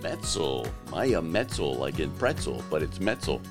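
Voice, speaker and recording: A man hosting a podcast in casual, joking chat, his voice lowered by allergies. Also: big pitch swings, unhurried, music in the background. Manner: joking, teasing. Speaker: podcast host. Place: South Carolina.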